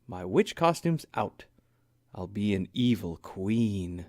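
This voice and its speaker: A young girl bear from a storybook, read by a man, full of plans and sure of herself. The lines are earnest and enthusiastic, with animated pitch movement. They come at an unhurried pace in a dry recording. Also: no room sound. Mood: decisive. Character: bright, decisive young girl bear cub, enthusiastic planner. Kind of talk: children's storybook character voice.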